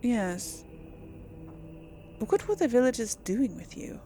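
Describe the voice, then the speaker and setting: A woman doing casual, chatty video game commentary with a creaky edge to her voice. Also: fairly high pitch, big pitch swings, takes it slowly, warm tone, a little hiss or hum. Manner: chatty, talks to the audience. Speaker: gaming channel host playing through a role-playing game. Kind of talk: casual gameplay commentary with in-character dialogue read-alongs.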